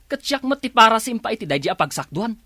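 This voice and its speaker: A man's voice: high-pitched, sing-song, fast, sharp tone, no room sound, studio quality, full character voice. A man playing a stubborn, self-righteous husband in a radio drama, argumentative and boastful. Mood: boastful, indignant.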